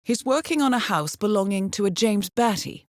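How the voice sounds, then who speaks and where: An actress playing a coolly professional handler who briefs an assassin in a video game, speaking in a composed, formal with dry understatement. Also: female voice, mid-range voice, normal speed, a little mellow, no room sound, close to an everyday voice. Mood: calm briefing.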